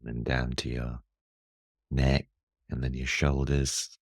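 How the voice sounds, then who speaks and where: Man, extremely low pitch, unhurried meditation guide, soothing, measured, long pauses, dry recording. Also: level tone, dry recording.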